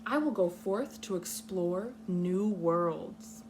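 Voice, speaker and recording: A woman leading a read-aloud lesson for young children, speaking patiently in simple, deliberate, instructional phrases. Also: on the low side, animated, noisy background. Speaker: kindergarten teacher reading a picture book aloud.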